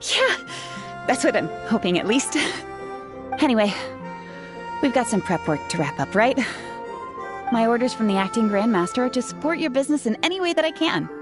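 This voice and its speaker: Earnest young lady knight, bit high, polite and eager, game cutscene w music. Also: sing-song, normal speed, long pauses. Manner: eager, courteous, formal. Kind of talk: video game cutscene acting.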